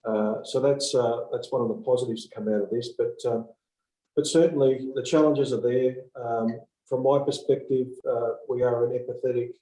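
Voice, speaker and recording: A man in his fifties, a police officer, speaking haltingly with many fillers on a video call from an office. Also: mid-range voice, steady tone, dark tone. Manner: halting, many ums and uhs. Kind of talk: online panel discussion. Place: office with blinds. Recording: roomy laptop audio.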